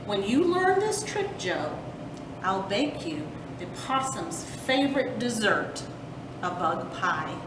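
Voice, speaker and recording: A firm, loving mother possum from a storybook , played by a woman reader. She scolds with slow, emphatic, drawn-out words and a swooping pitch. The sound is distant. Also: sing-song. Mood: instructive. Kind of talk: children's storybook read aloud. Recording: roomy, distant mic with rumbling noise.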